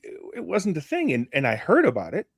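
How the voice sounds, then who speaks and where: A man from Canada speaking as an interview guest, casual and halting, often restarting his phrases. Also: fairly high pitch, wildly swooping pitch, slightly rough, no echo, studio quality. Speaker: interview guest, community manager.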